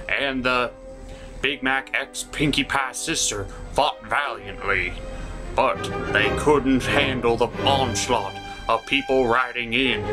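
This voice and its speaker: A man hosting a jokey, scripted cartoon recap in a theatrical, sarcastic way. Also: mid-range voice. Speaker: host of a cartoon episode review.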